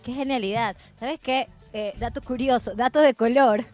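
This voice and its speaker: A woman's voice: a little high, moderate pace, a little mellow. A woman hosting a casual, chatty conversation about everyday things. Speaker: podcast host. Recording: music playing behind the voice.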